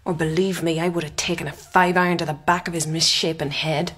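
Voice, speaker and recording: A spirited young woman in a radio drama, sardonic and guarded. Her voice is husky and slightly low, with an urgent edge. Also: steady tone, fairly quick, a bit bright. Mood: urgent pleading.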